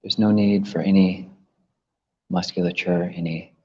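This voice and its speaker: A man leading a guided meditation as a teacher, gently instructing with long pauses between phrases. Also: sits low, fairly even intonation, breathy edge. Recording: muffled, long reverberant hall echo.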